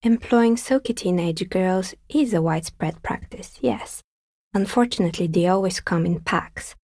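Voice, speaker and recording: Husky, wry woman dicemaker. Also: fairly low pitch, a little mellow, no room sound, clean studio sound. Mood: sardonic. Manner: wry, understated, matter-of-fact. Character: dry, sceptical woman novelty dicemaker. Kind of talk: video game character dialogue.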